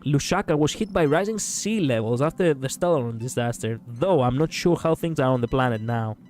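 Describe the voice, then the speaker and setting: A man with a raspy voice streaming a game, chatting casually in a rambling way, laughing often and swearing. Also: mid-range voice, expressive, brisk, a little mellow, soft background music. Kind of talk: casual gaming stream chat.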